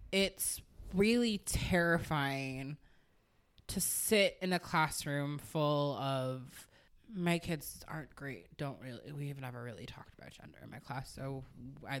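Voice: mid-range voice, slow pace, fairly bright tone. A person with a woman's voice chatting candidly and casually on a podcast as a co-host, swearing freely. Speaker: schoolteacher.

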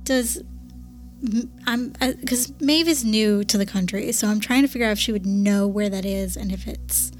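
A woman's voice: mid-range voice, moderate pace, soft background music. A woman playing a tabletop game, politely asking questions as her character and narrating her actions. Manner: polite, inquisitive, shifts between narration and in-character speech. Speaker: player.

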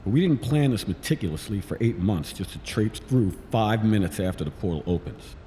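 A man's voice: on the low side, lively intonation, a little fast, warm tone, close to an everyday voice. A man playing a cautious, wry scientist in charge of a team in an audio drama. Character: cautious, dry-humoured male scientist team leader. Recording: long reverb, faint background noise.

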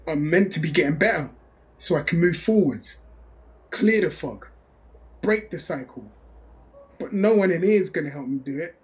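An actor playing a restless recalled prisoner in an audio drama monologue, plain-spoken, slangy and driven to rebuild himself. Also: male voice, a little high, natural voice, poor audio. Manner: plain-spoken, slangy, counting through exercise sets.

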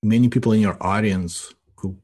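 A man's voice: lowish voice, fairly even intonation, moderate pace, darkish tone, slightly rough. A man in his forties from Ukraine, a guest in a casual podcast interview, rambling and tagging his sentences with "you know" and "right?". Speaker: guest, IT business owner.

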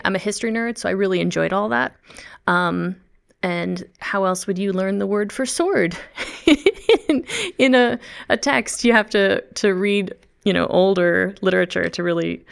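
A woman's voice: medium pitch, creaky, clean tone. A middle-aged woman, chatting in an interview as a language researcher, laughing often and restarting her sentences. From Midwest United States. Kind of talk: casual interview talk.

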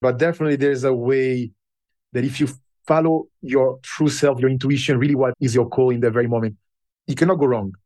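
A man who learned English later in life, a podcast guest telling his story in an unhurried, reflective way with pauses. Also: medium pitch, steady tone, a little mellow, no echo. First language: not English. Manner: unhurried and thoughtful, with pauses and fillers.

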